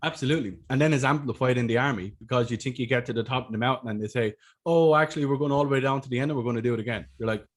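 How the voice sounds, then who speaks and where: Picture an Irish man in his thirties on a video call, speaking into a desk mic at home. He tells his story bluntly and with lots of swearing, in a voice of average pitch for a man. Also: rapid-fire. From Ireland. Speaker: podcast host. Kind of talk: casual storytelling chat. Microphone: desk microphone on a video call.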